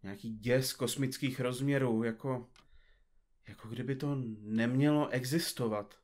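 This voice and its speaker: A man's voice: mid-range voice, fairly even intonation, a little mellow, close to an everyday voice. A man playing a pompous, absent-minded detective in an audio comedy drama, speaking in florid, formal, bookish phrases. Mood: shaken, bewildered.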